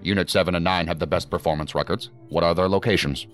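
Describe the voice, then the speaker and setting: A senior federal director played by a male actor in a futuristic radio play. His voice is exceptionally deep, and he talks with measured, formal authority. Light music sits underneath. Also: steady tone, close to an everyday voice. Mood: commanding, businesslike. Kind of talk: audio drama acting.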